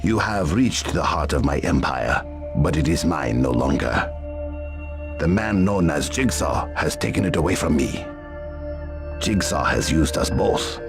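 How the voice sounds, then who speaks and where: Defeated crime lord, extremely low voice, animated intonation, formal, grave, game cutscene, music behind. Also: male voice, exaggerated voice. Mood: grave, resigned. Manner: formal, solemn, measured. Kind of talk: video game cutscene acting.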